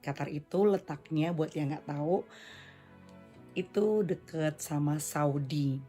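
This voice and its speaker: A woman's voice: low voice, slow, warm tone, faint music behind. A woman hosting a casual solo podcast, chatting conversationally and mixing in English phrases. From Indonesia. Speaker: podcast and vlog host.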